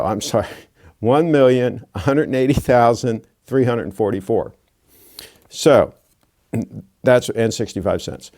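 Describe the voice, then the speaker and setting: A man's voice: mid-range voice, warm tone. Blunt sixty-year-old man. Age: 60s. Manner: blunt, lecturing, folksy asides. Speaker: watch channel host. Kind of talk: casual monologue to camera.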